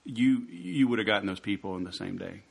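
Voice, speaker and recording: A man's voice: lowish voice, very animated, fairly quick, vocal fry. A man hosting a podcast in a casual interview, joking and laid-back, with lots of "dude" and "man.". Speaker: podcast host.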